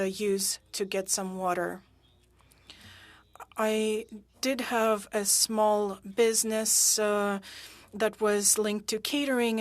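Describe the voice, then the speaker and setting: A woman interpreting witness testimony at a hearing into English, halting and pausing often with fillers. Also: medium pitch, no echo. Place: interpreter booth at a parliamentary hearing.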